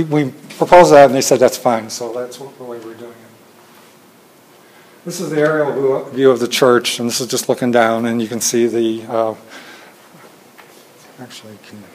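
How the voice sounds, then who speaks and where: Soft-spoken halting man presenting. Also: medium pitch, rough recording. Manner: soft-spoken, halting, many fillers and restarts. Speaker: presenter at a training session. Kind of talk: informal presentation with slides. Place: meeting room with audience. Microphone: phone camera in the room.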